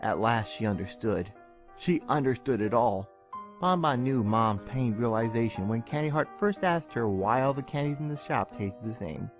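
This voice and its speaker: A man giving a theatrical, expressive narration of a scripted dramatic story. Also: medium pitch. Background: soft music.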